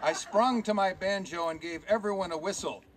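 A man's voice: high-pitched, moderate pace, lightly acted. A man voicing a grumbling puppet who recites comic rhymes theatrically in a noisy live puppet show. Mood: gleefully reciting. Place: live talent show, recorded from the audience.

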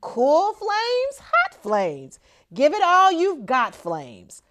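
A middle-aged woman reads a picture book to children. Her fairly high voice moves very slowly and theatrically, with wide swings in pitch and emphasis on key words. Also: wildly swooping pitch, a bit bright, a little hiss or hum. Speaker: school superintendent reading aloud to children. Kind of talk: expressive children's picture-book read-aloud.

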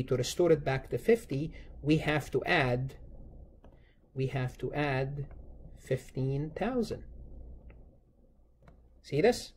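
A man's voice: a little high, darkish tone, a little hiss or hum. A man lecturing as an instructor, explaining step by step in an emphatic way, repeating key phrases. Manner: emphatic, repeats key phrases, asks and answers own questions. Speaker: accounting lecturer.

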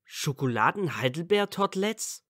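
A man's voice: a little high, big pitch swings, moderate pace, a bit bright, dry recording, clean studio sound. A man playing a friendly, candid teenage wizard in an amateur audio drama. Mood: light, teasing.

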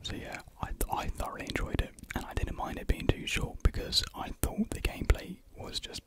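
Young british guy doing asmr, rambling casually in a breathy whisper right up on the mic. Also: a little fast. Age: young adult. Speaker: ASMR video creator. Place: small indoor room at home. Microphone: large USB desktop mic, very close.

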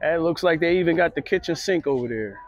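A middle-aged man strolls an outdoor market, chatting easily as he points things out. His voice sits a little high, and the phone mic catches it dry and close, with no room around it. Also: expressive, quick pace, no echo, lo-fi sound. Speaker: vlogger. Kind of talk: casual walking commentary. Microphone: handheld phone camera.

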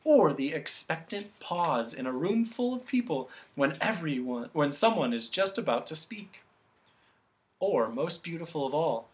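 Storybook keeper of sounds, acted by a narrator: a soft, talkative, sighing lady with lilting, animated pitch. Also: close to an everyday voice. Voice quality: soft, gentle. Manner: talkative, sighing, savoring each phrase. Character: gentle, wistful female keeper of sounds, covered in bells.

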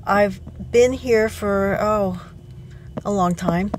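A woman's voice: medium pitch, slow pace. Woman in her 50s rambling about her thrift finds, lots of ums, little bit of hiss behind her. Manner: chatty, with frequent ums and self-corrections. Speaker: antique booth vendor. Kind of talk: casual show-and-tell vlog. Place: living room, then car and antique mall.

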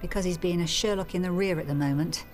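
A woman's voice: lowish voice, quick pace, a little mellow, faint music behind, lightly acted. A woman acting in a radio soap as an anxious but teasing middle-aged village mother.

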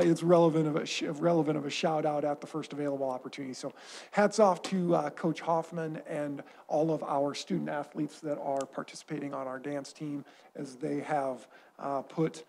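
A man giving a formal report at a school board meeting, measured and full of uh fillers, on a desk microphone in a boardroom. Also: on the high side, gravelly, darkish tone. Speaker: school superintendent. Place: boardroom at a long table.

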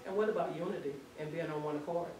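A woman's voice: low voice, steady tone, very fast. A woman leading a group Bible class discussion as the teacher. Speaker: Sabbath school teacher. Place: church meeting room, standing, far camera. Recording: echoey room, distant mic, faint noise.

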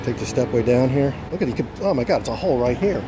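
A man's voice: medium pitch, lively intonation, fast talker, music in the background. A man narrating a casual, off-the-cuff exploring vlog on a handheld phone outdoors. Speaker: vlogger, explorer. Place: outdoors by a roadside building. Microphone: handheld camera phone. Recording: loud traffic and outdoor noise.

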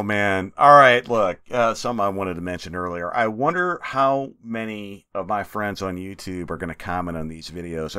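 Man, game commentary, jokey, self-mocking, bright, dry recording. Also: lowish voice, smooth, no room sound. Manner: joking, self-mocking, loud swings in energy. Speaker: gaming YouTuber. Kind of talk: casual let's-play commentary.

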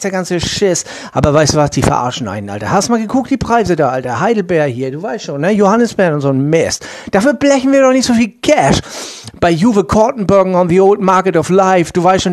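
A man puts on a brash, bullying church man from a crude audio comedy. He swears constantly in slangy German sprinkled with English. His fairly high voice is hot-tempered, with a dry, close sound. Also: lively intonation, fairly quick, darkish tone, no room sound. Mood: ranting, slangy.

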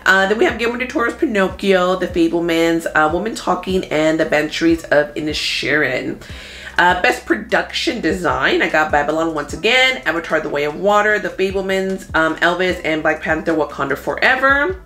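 A woman in her thirties talking casually as a movie vlogger, thinking out loud in an informal, conversational way. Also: on the low side, animated, normal speed. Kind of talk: casual chatty commentary. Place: small room at home. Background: background music.

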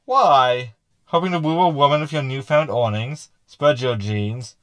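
A man's voice: medium pitch, unhurried, fairly bright tone, dry recording, studio quality, close to an everyday voice. A man playing a snide, teasing crewmate with a sarcastic manner in a sci-fi comedy audio drama. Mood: mocking. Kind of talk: sitcom audio drama acting.

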